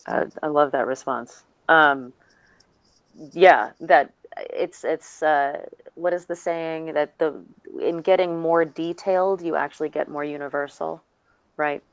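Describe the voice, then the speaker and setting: Low gravelly lady panelist, makes her points firmly, bit muffled lo-fi sound. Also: unhurried, no echo. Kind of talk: casual panel discussion. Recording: slightly dull, lo-fi audio.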